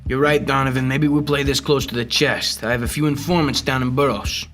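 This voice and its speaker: A man's voice: mid-range voice, steady tone, a little fast, a bit bright, slight background noise, close to an everyday voice. A young man playing a tough, dry private detective with clipped delivery in a noir radio drama. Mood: firm, resolute. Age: young adult. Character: hard-boiled male private detective, tough, dry, determined. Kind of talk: 1940s radio noir acting.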